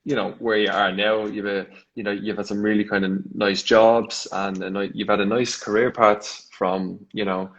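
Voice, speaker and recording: Low-pitched Irish man hosting a casual chat. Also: little pitch movement, normal speed, hardly any pauses, dull audio. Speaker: host. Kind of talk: casual live video chat.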